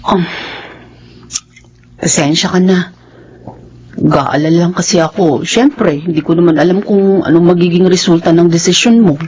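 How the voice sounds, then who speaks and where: An actress with a Filipino accent playing a worried, sharp-tongued wife in a radio drama. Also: female voice, fairly low pitch, steady tone, moderate pace, pauses often, breathy edge, lo-fi sound. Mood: apologetic. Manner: suspicious and needling, then apologetic.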